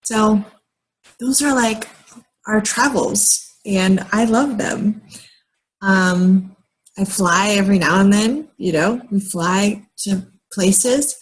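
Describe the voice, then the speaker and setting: An American woman in her late thirties talking casually and haltingly in a personal vlog, often pausing mid-sentence and rambling along. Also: mid-range voice, slightly rough, poor audio. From United States, moved often as a military child. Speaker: vlogger.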